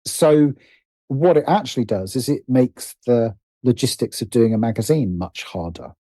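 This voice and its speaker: A man with a very mellow, dark-toned voice talks in a casual interview. He feels his way through sentences, doubling back and rephrasing. The sound is dry, with little room around it. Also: mid-range voice, animated, moderate pace, no room sound. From United Kingdom. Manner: hesitant, repeats and rephrases phrases as he thinks. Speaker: guest, writer and producer.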